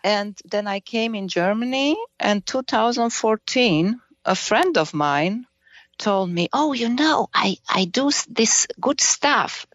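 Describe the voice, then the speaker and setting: Female podcast guest, Romanian accent, halting conversational delivery, dry but somewhat lo-fi sound. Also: fairly high pitch, lively intonation, a bit bright, no echo. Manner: halting, searching for words in non-native English. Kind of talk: casual interview chat.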